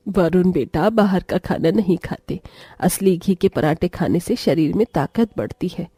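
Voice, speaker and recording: Woman playing a gentle, mellow village mother. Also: fairly low pitch, a little slow, slightly rough, no room sound. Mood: patient advice. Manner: patient, advising. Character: gentle, dutiful village mother and daughter-in-law.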